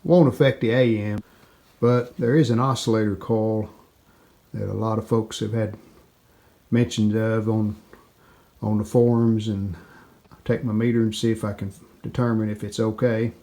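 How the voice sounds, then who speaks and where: A man giving a casual hands-on repair walkthrough, unhurried and thinking aloud as he works at an indoor bench. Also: fairly low pitch, steady tone, dark tone. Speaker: hobbyist restorer presenting a repair video. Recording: clear background noise.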